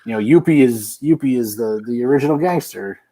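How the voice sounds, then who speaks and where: A man's voice: mid-range voice, brisk, warm tone. A man in casual sports banter as a talk show panelist, opinionated, sarcastic and often dismissive.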